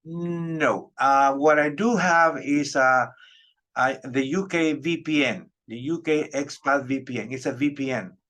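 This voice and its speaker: A middle-aged man from Peru whose first language is Spanish leads a casual interview. His voice sits at an ordinary medium pitch, and he talks in a chatty way, stopping often to find his words and explain himself. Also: a little slow, a bit bright. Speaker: interviewer, English teacher.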